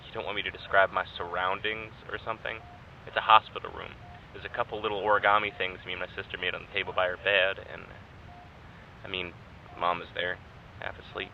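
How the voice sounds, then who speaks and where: A man acting a wry, hesitant test subject in an audio drama, speaking haltingly and naturally. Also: deep voice, flat delivery, gravelly. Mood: subdued, wistful. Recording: dull lo-fi microphone, faint noise.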